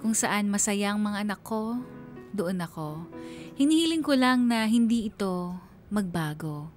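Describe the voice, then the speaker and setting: An actress voices an anxious mother narrating her own radio drama. She speaks at a medium pitch in a heartfelt, confiding way, with faint music behind her. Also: expressive, unhurried, darkish tone, close to an everyday voice. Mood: wistful.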